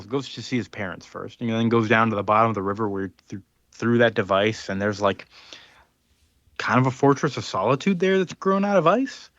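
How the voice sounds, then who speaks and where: A man's voice: mid-range voice, a bit bright, dry recording, highs cut off. A man co-hosting a casual comic book podcast, recapping the story in a rambling way with lots of fillers. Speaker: podcast co-host.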